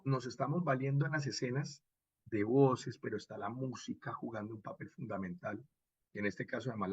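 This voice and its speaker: A man with a Colombian accent and a husky voice, lecturing expressively as a radio drama instructor and checking in with short rhetorical questions. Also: medium pitch, fairly bright tone, no room sound, dull audio. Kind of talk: animated workshop lecture with acted examples.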